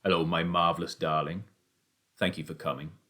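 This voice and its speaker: Storybook role: trapped, injured dad acted by a man, deep-leaning voice, affectionate, steady under strain. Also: normal speed, natural voice. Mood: tender.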